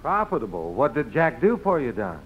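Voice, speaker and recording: A man's voice: medium pitch, wildly swooping pitch, normal speed, narrow, tinny audio, a little hiss or hum. A man delivering dialogue as a cast member of a 1950s radio comedy, on an old recording.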